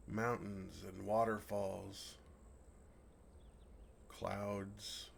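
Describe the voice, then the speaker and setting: A man playing a gentle, affectionate, innocently oblivious dragon in an intimate, earnest ASMR roleplay. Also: lowish voice, vocal fry, a little hiss or hum. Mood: wistful, tender. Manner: earnest, softly teasing, innocently puzzled.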